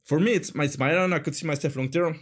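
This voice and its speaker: A man's voice: fairly high pitch, rapid-fire. A man in his thirties from France with a French accent, chatting casually as an interview guest, searching for words with many fillers and restarts.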